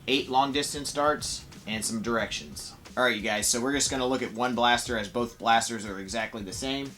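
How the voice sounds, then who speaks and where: Casual man reviewing toys, music behind. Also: medium pitch. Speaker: toy blaster reviewer. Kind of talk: casual product review to camera.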